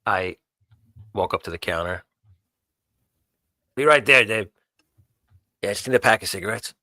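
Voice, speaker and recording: A man's voice: fairly low pitch, animated, unhurried, pushed and bright, no echo, clean studio sound. A man improvising casual in-character talk and actions as a player in a tabletop roleplaying game.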